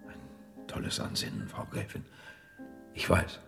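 An actor playing a grave, formal public prosecutor with a measured, restrained manner in a German radio drama. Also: male voice, a bit bright, faint music behind, natural voice. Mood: guarded, persuasive.

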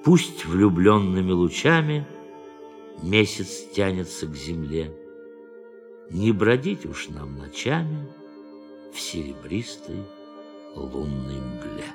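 An actor in a radio drama playing a brooding, bitter astronaut with a poetic soul, speaking in a measured, declamatory way. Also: male voice, low voice, big pitch swings, heavily acted. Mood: poetic, solemn. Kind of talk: theatrical radio drama acting. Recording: studio radio play with music behind.

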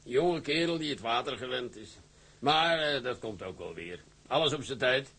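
An actor playing a stern older shipping company director in a radio drama, lecturing in an authoritative way. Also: male voice, mid-range voice, lively intonation, moderate pace, fairly bright tone, husky. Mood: fatherly.

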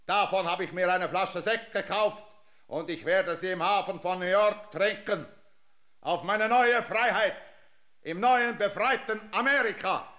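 A man's voice: high-pitched, unhurried, pushed and bright. An actor playing a defiant, self-seeking male European emigrant in a theatrical, solemn German radio drama. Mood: exultant.

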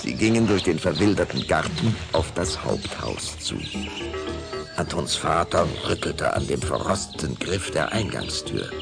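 A man narrating a scripted radio play with suspenseful, deliberate, dramatic storytelling. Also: a bass voice, sing-song, gravelly, loud music behind the voice.

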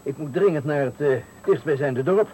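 An actor playing an uneasy, irritable stranded motorist in a radio thriller, testy and at times hesitant. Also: male voice, fairly high pitch, normal speed, warm tone, lightly acted. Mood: tense, impatient. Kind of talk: 1980 Dutch radio thriller acting. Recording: noisy 1980 radio play recording.

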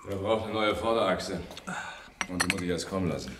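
A male actor as an unhurried, matter-of-fact village mechanic in a German radio crime play. His voice is deep, with faint noise behind it. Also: natural voice.

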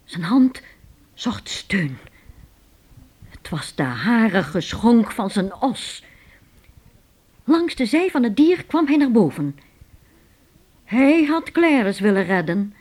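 Slow, breathy woman narrator. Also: mid-range voice, sing-song. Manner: dramatic, drops to a hushed whisper. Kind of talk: scripted radio play narration.

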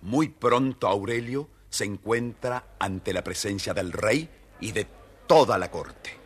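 A man with a husky voice narrating a children's tale theatrically, with dramatic pauses. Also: on the low side. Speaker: storyteller.

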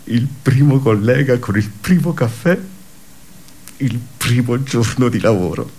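An actor playing a brooding, darkly ironic office worker in a radio theatre monologue, halting and conversational with emphatic bursts. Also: male voice, mid-range voice, a little mellow, husky. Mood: wistful recollection.

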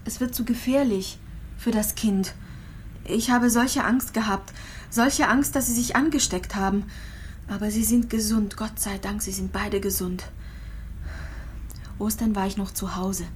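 A woman's voice: medium pitch, fairly even intonation, darkish tone, close to an everyday voice. Actress as a frail, ill young mother, faint noise. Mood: wistful, anxious. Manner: halting, repeating phrases, confiding. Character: gravely ill young immigrant mother, frail, fearful, resigned. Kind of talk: intimate radio drama monologue and dialogue.

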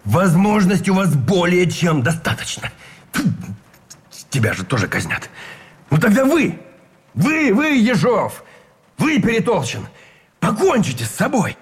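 An actor playing a haughty, cynical army colonel in a radio play, speaking in an emphatic, declaiming manner. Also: male voice, high voice, huge pitch swings, measured pace, long pauses, heavily acted. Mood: exasperated. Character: haughty, cynical male army colonel pleading for patriotic sacrifice. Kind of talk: Russian radio play acting.

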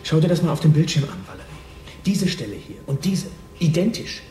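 A somewhat high, mellow male voice in an echoey room. an insistent police colleague, urgent and businesslike, in a radio drama. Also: very animated, brisk. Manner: urgent, matter-of-fact. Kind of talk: naturalistic German radio drama acting. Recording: echoey room, faint noise.

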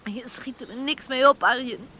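An actress playing a headstrong, emotional young farmer's daughter in a Dutch radio play, pleading and insistent. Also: female voice, sits high, measured pace, bright, forceful tone, slight background noise. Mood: soothing, dejected. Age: young adult.

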